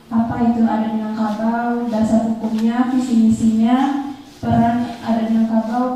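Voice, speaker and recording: A woman's voice: on the high side, dark tone, a little hiss or hum. A woman acting as master of ceremonies, formally summing up a talk and opening the question round. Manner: orderly, formal phrasing. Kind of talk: formal event announcement. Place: large echoey hall. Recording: echoey hall, distant mic.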